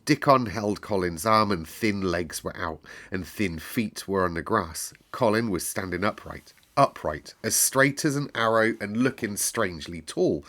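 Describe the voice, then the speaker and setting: A man reading a story aloud as narrator, expressive and dramatic, with deliberate emphasis. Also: fairly low pitch.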